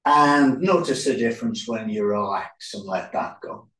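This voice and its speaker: A man leading a yoga relaxation as instructor, speaking calmly in short, unhurried phrases with pauses. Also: mid-range voice. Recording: roomy echo, distant microphone.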